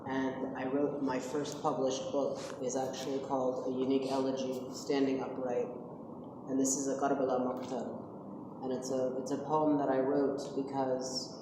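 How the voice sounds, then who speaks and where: A man speaking quietly to a gathering, introducing a poem he wrote. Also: medium pitch, completely flat delivery, moderate pace, background noise. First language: not English. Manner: soft-spoken, recites poem with rising intensity. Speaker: speaker and poet. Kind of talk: talk and recited elegy. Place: large echoey hall. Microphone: distant from the mic. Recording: echoey hall from far off.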